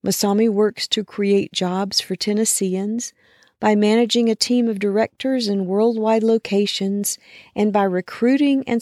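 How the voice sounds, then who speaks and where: A woman's voice: mid-range voice, warm tone, dry recording. Woman host, close dry sound. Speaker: interviewer and host. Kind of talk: scripted introduction and interview questions.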